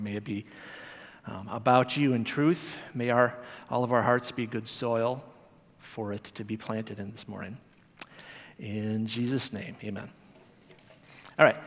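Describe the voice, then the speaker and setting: A man delivering a church lesson in a relaxed, chatty way, often stopping to restart a phrase. His medium-pitched voice rings with a long hall reverb in a church sanctuary. He sounds like a lay teacher talking to his congregation. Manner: conversational, chatty, with frequent fillers and restarts. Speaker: Sunday school teacher. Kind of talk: sermon.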